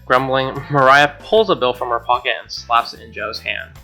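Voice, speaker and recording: A young man narrates a visual novel as he plays, in a bright, forceful voice. He drops in casual asides, and game music plays faintly behind him. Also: mid-range voice, moderate pace. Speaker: gamer reading a visual novel aloud. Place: small home room, indoors.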